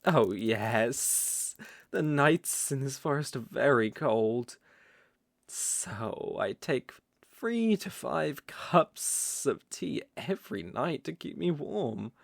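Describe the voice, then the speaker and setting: Slow, gravelly, smooth-talking male naga played by a man. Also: medium pitch, expressive, no echo, studio quality, exaggerated voice. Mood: smug, coaxing. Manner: slow, coaxing, teasing with soft chuckles. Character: smooth-talking male naga monster, coaxing, possessive predator. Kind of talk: roleplay ASMR audio drama.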